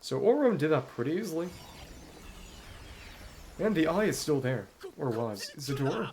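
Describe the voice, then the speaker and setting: A man's voice: fairly high pitch, sing-song, normal speed, darkish tone, a little hiss or hum. A man giving casual let's-play commentary on a video game, thinking aloud as he plays. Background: game music.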